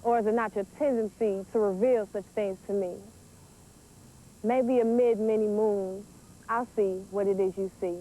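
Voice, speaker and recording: A woman's voice: medium pitch, fairly even intonation, normal speed, dark tone, poor audio. An eighteen-year-old American woman, a poet introducing herself and reciting spoken-word verse. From Wisconsin, United States. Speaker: teen poet.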